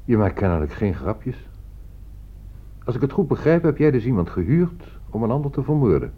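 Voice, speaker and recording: An actor playing an intrigued, wry writer in a radio play, curious and dryly amused. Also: male voice, mid-range voice, sing-song, moderate pace. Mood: probing. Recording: dull, muffled old radio play recording.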